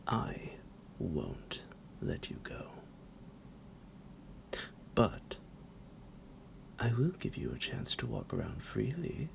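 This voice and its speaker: A man playing a centuries-old psychotic vampire captor in an ASMR roleplay, possessive and mock-tender, speaking theatrically in a breathy, gravelly whisper that drops into a low creak. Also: wildly swooping pitch, heavily acted. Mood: possessive, teasing. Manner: slow, theatrical, menacingly affectionate, chuckling. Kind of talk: ASMR roleplay addressed to the listener.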